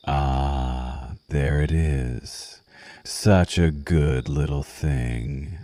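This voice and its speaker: Man acting a seductive vampire lover, dark mellow voice, close dry sound, languid teasing murmur, ASMR roleplay. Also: a bass voice, no room sound, heavily acted. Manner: languid, teasing, purring endearments.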